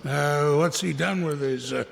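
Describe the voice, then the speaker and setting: A man's voice: on the high side, a little slow, creaky, slight background noise. A man playing a callous but jovial Victorian businessman, drawling and chuckling, in a radio drama. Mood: amused, callous. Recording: reverberant hall sound.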